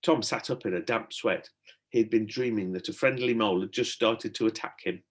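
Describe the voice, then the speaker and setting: Expressive man reading a children's story. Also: on the low side, fairly quick, breathy edge. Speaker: primary school teacher reading aloud.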